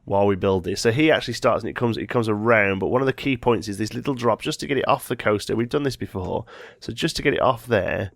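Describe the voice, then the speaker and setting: A man's voice: lowish voice, fast talker, gravelly, hardly any pauses, dry recording, clean studio sound. A man with a slight head cold, hosting a let's play and thinking aloud as he builds, often correcting himself mid-sentence. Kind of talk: casual gameplay commentary.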